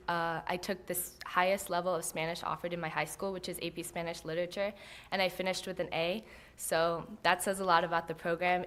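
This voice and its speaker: Teen girl senior, friendly casual talk, lowish voice, podium mic. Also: fairly bright tone. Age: teens. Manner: friendly, casual, smiling, uses like. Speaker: high school senior speaker. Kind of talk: prepared public remarks. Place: school board meeting room, indoors. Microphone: podium microphone.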